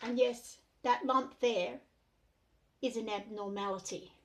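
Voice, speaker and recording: A middle-aged Australian woman speaking to the camera in an earnest, deliberate way, in short measured phrases. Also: a little high, fairly even intonation, noisy background. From New South Wales, Australia. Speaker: self-represented complainant. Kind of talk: monologue to camera.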